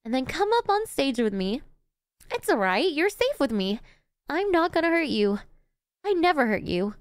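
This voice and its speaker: Woman acting a high-pitched, theatrical, cocky female supervillain. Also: big pitch swings, normal speed, no echo, studio quality. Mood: adoring, excited. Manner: theatrical, teasing, chatty, breaking into giggles. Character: gleeful, cocky, doting yandere female supervillain. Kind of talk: ASMR roleplay acting.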